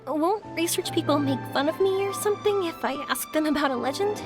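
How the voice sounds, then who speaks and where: Bubbly, high-pitched young game heroine. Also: female voice, expressive, a little fast, music in the background. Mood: earnest, curious. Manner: chatty, enthusiastic, exclamatory. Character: bubbly young woman firework maker, friendly and adventurous. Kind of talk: video game voice acting.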